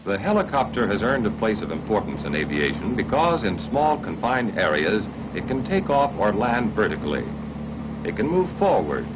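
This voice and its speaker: Muffled, low-voiced vintage American male narrator. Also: big pitch swings, heavy background noise. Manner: formal, measured, instructional delivery. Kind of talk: mid-20th-century military training film narration. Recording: muffled mid-century film soundtrack, music behind.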